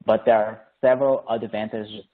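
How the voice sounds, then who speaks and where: Brazilian-accented engineer in his thirties, lowish voice, halting friendly delivery, tinny call audio. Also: fairly even intonation. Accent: Brazilian Portuguese. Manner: hesitant, many "uh" fillers, friendly and explanatory. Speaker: engineer presenting a webinar. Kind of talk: technical webinar presentation. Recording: phone-like narrowband audio.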